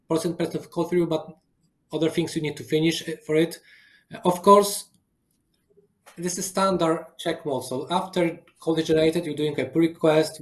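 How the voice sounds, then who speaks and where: A man whose first language is Polish, speaking over a video call with halting phrasing and frequent restarts as a guest engineer in a webinar. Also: on the high side. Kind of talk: live webinar demo and Q&A.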